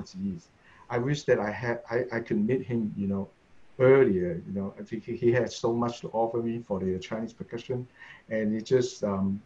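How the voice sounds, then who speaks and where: A man, a conductor and tubist, speaking eagerly with halting, non-native phrasing through a headset on a video call from a home office. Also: on the low side, darkish tone. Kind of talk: casual video-call discussion. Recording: dull lo-fi video call audio.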